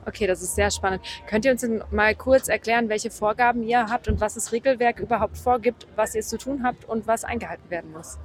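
Woman host, friendly slow questions, on location at a horse show. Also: a little high. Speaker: podcast host. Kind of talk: on-location interview.

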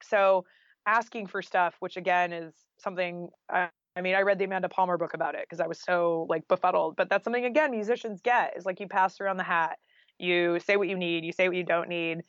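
A woman's voice: fairly low pitch, fairly even intonation, fairly quick, dry recording. A woman chats as an interview guest in a casual, anecdotal way, sprinkling in conversational fillers. The recording is dry and close, with a slightly dull, lo-fi sound. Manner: chatty, anecdotal, with frequent "like" and "you know". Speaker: podcast guest, freelance journalist and author.

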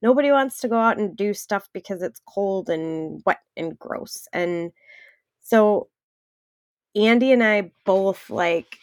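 A woman's voice: mid-range voice, takes it slowly, no room sound, studio quality. A woman with a Wisconsin accent and a stuffed-up nose, co-hosting a casual podcast chat and rambling with lots of "like.". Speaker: podcast co-host.

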